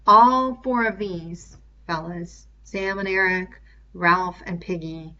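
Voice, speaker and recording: Bright-voiced woman reading aloud. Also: lowish voice, lo-fi sound. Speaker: reader. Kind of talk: expressive read-aloud narration with explanatory asides.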